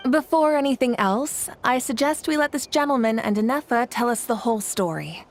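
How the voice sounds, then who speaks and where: A woman playing a composed, decisive leader in a video game, calm and articulate with quiet authority. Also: a little high, brisk, slight background noise, lightly acted.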